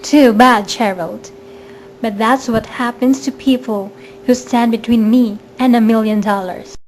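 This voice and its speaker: Woman as greedy villainess, amateur radio drama, falsely sweet, deliberate delivery, high voice, faint background noise. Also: fairly even intonation, lightly acted, rough recording. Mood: cold, mock regretful. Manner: coaxing, smoothly reassuring, deliberate.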